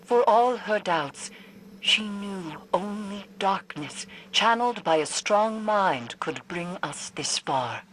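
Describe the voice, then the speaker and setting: A woman's voice: medium pitch, lively intonation, slow, a bit bright. A woman playing a grave, cryptic female mystic who guides warriors in a game, speaking formally and theatrically. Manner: solemn, formal, ominous. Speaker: mission guide. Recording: noisy game sound effects behind.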